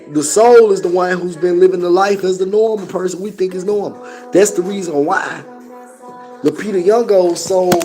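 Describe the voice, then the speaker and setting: Hoarse Memphis man with a high voice. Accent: Southern US, Memphis. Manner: profane, animated, talks straight to camera. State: hoarse from a cold, coughing. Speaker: vlogger reviewing a movie. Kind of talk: casual spoken movie review. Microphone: phone camera.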